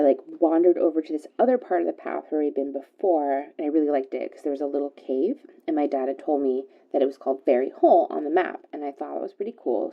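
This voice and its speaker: Radio caller, played by an actress: chatty guileless young girl, rambling casual storytelling, notably low voice, muffled lo-fi line. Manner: rambling, filler-heavy youthful talk.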